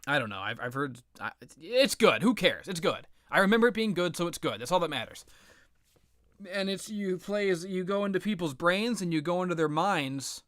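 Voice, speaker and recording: A man giving casual, rambling, opinionated video game commentary with sarcastic, profane ranting and a halting, repetitive delivery. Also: sits high, animated, fairly bright tone, no echo, studio quality. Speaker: video game reviewer and host.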